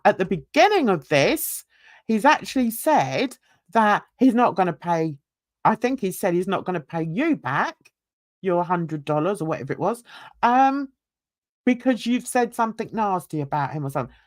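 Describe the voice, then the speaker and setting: A woman's voice: medium pitch, dry recording, studio quality. An older woman, ranting bluntly and indignantly while reading a livestream chat and talking to viewers. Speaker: YouTube commentator.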